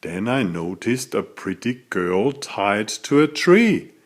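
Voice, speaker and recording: A man reading a children's story aloud as a narrator, in a deliberate, dramatic storytelling manner. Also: lowish voice, very animated. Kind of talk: expressive scripted storybook narration.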